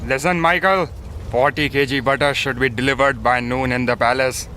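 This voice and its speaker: A man's voice: mid-range voice, fairly even intonation, moderate pace, sharp tone, a little hiss or hum, lightly acted, low-quality recording. A man playing a dutiful palace servant of a king in a children's cartoon. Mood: official. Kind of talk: animated children's story acting.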